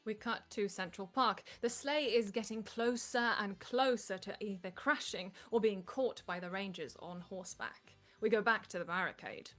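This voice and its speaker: A woman's voice: mid-range voice, normal speed, few pauses, a bit bright, soft background music. A woman reading stage directions as the narrator of a live script table read.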